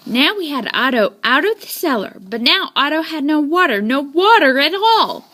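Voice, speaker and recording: A woman reading a children's storybook aloud in an expressive, dramatic way. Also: high voice, normal speed. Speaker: storybook reader.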